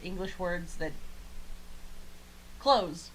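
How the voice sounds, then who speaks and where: A woman's voice: on the low side, extremely slow, a little hiss or hum, rough recording. A woman co-hosting a podcast in casual chat, with a blunt, joking manner and a habit of swearing. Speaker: podcast co-host. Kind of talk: casual chat reviewing an anime.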